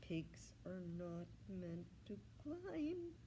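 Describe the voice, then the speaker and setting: A woman's voice: on the low side, sing-song, very slow, mellow, a little hiss or hum, heavily acted. A woman voicing a grumpy, dignified small male pig in a children's storybook, grumbling and indignant. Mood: reluctant, determined. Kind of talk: children's storybook read-aloud.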